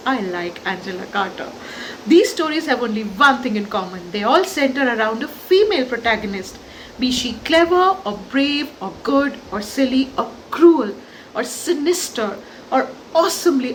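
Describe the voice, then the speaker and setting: Woman doing a chatty book vlog, kinda high voice, expressive and keen, bit of background ambience on location. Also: low-quality recording. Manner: expressive, conversational, enthusiastic. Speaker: book reviewer and vlogger. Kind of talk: chatty vlog book review with read-aloud passages. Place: on location at an old forest house. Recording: clear ambient noise on location.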